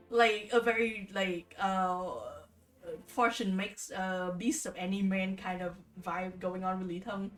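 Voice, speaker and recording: Female livestream storyteller, informal and rambling, halting delivery, heard at a distance from the mic over music. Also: mid-range voice, fairly bright tone. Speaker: streamer reading folktales aloud. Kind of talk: casual livestream storytelling and commentary.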